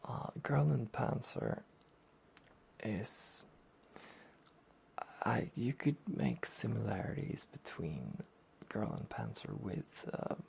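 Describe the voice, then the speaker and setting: A soft-spoken man hosting a relaxed review show, often near a whisper, rambling and hesitant in an understated way. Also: fairly low pitch, slow, creaky, long pauses, a little hiss or hum. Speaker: host of a soft-spoken anime talk show.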